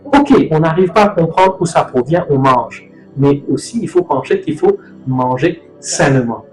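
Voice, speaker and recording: A man's voice: fairly high pitch, very animated, moderate pace. Rambling middle-aged man in an echoey room. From Mauritius. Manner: rambling, loose, self-correcting. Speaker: host. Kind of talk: casual talk on nutrition. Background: soft music.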